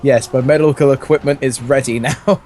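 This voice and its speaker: A man's voice: mid-range voice, steady tone, a little fast, darkish tone, a little hiss or hum, close to an everyday voice. A man voicing a sassy, sly cartoon cat with clipped, broken phrasing in a fan fiction reading. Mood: sly, flirty.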